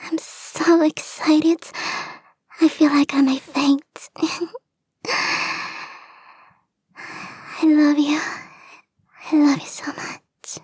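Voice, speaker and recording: Woman voicing a high, breathy clingy fox girl. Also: flat delivery, very slow, pauses often, no room sound, low-quality recording. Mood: giddy, possessive. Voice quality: soft, breathy, often whispered. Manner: coy, gushing, hesitant. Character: clingy lovestruck young kitsune fox-girl bodyguard, sweet and possessive. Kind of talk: ASMR romance roleplay, intimate monologue. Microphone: close microphone, dry.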